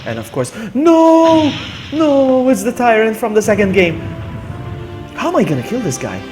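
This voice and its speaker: A man streaming a video game, commenting live and chatting with viewers in an excitable, exclamatory way, often repeating his phrases. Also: very high-pitched, moderate pace, over music.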